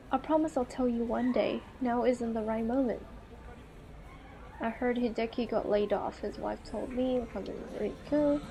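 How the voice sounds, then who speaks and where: Woman reading mellow, gentle young married couple. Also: fairly high pitch, lightly acted. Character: self-doubting husband and gently encouraging wife in visual novel. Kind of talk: visual novel dialogue read aloud.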